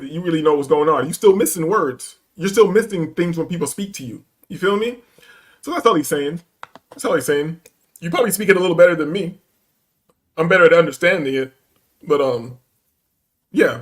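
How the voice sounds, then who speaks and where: A man's voice: a little high, fairly bright tone. A man hosting a reaction stream, talking bluntly in profane street slang. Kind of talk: casual reaction commentary.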